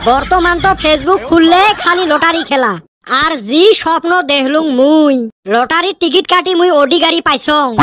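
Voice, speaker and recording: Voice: high voice, background noise. An actor playing a boastful cartoon village man who dreams of riches, speaking in a drawling northern Bengal rural dialect. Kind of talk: comic cartoon dialogue.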